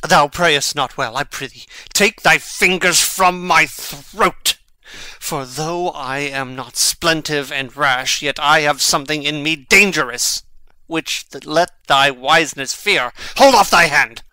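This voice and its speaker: An actor playing a brooding, impassioned young prince in a dramatic Shakespeare reading, with deliberate theatrical delivery. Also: male voice, fairly high pitch, bright, forceful tone, clean tone, dry recording, heavily acted, poor audio. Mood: impassioned, defiant.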